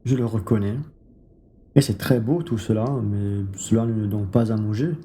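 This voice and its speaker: A man's voice: on the low side, normal speed, mellow, lightly acted. A man in a student radio play, acting a blunt, complaining bourgeois wine merchant. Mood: grumbling. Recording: clear background noise.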